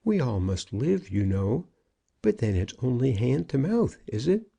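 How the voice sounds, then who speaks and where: Man playing an old storybook shopkeeper, raised pitch, piteous, evasive, wheedling, husky. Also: very animated, unhurried, dark tone, exaggerated voice. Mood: pleading, defensive. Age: older. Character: old senior shopkeeper, evasive, plaintive, pleading. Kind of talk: audiobook character acting.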